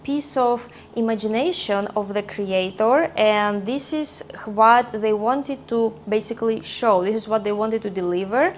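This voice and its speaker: Greek girl vlogging, chatty and rambling a bit, average pitch, slight background hum. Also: measured pace, few pauses. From Greece. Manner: chatty, thinking aloud with fillers and small restarts. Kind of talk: casual vlog chat.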